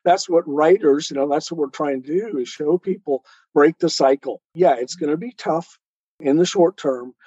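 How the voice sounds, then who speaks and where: A man with a slightly high, husky voice speaks as an interview guest. He explains things earnestly and conversationally, often posing rhetorical questions. The sound is dull and lo-fi. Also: normal speed, warm tone, no echo. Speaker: guest author. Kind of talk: conversational interview talk on life choices.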